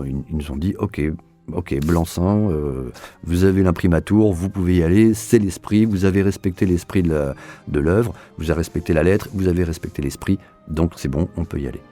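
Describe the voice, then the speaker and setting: A man's voice: low voice, mellow. A man being interviewed as an audio series director, explaining his own work in a hesitant way, often repeating words as his thoughts form.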